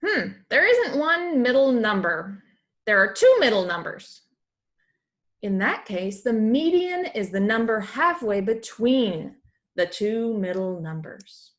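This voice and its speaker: A woman voicing comic-book monster characters who talk through math in expressive, emphatic storybook dialogue. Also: on the high side, slow pace. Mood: puzzled, explaining.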